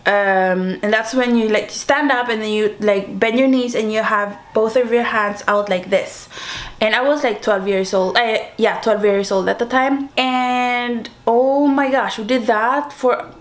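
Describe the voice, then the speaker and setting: A young woman from Sweden tells a story to her viewers in a loose, chatty way, full of fillers, restarts and little laughs. Her voice sits a touch higher than most. She talks into a camera-mounted mic. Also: a little slow. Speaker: vlogger. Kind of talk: casual storytelling mukbang vlog. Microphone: camera-mounted shotgun mic.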